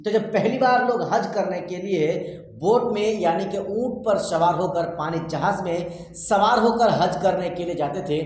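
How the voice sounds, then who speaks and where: A man's voice: high voice, a little mellow. A man presenting political news commentary to viewers, with an emphatic, repetitive way of addressing them directly. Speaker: YouTube news commentator and host. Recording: very echoey, reverberant sound.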